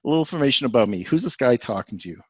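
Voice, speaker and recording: A man's voice: medium pitch, lively intonation, very fast, slightly rough, no echo, dull audio. A man from Canada with a Canadian accent giving a webinar presentation, rambling and restarting with lots of fillers.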